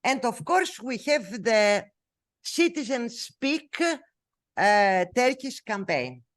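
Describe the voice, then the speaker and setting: Greek-accented female scholar, non-native English speaker; slow, hesitant lecture delivery, animated intonation. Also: on the high side. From Greece. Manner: halting, many fillers and self-corrections. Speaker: researcher giving a talk. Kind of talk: academic lecture.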